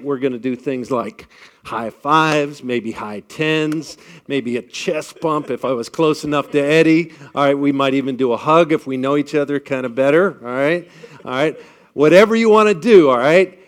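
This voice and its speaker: Man, booming projected voice, slightly higher pitch, energetic crowd leader, live talk on a mic. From Midwestern United States. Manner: energetic, upbeat crowd-rallying with frequent "all right?" checks. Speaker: event speaker leading the group. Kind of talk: live talk leading an audience icebreaker. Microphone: amplified on a microphone.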